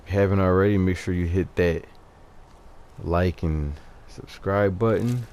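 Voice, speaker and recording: A man giving casual gameplay commentary as a video host, low-key and halting as he thinks aloud. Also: a little mellow.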